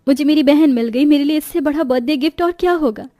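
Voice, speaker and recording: Woman as a meek, high-voiced elder sister. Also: fast, no room sound, close to an everyday voice. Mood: tearfully grateful. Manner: soft-hearted, earnest, respectful. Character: meek, gentle, long-suffering dark-skinned elder sister. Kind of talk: cartoon moral story voice acting.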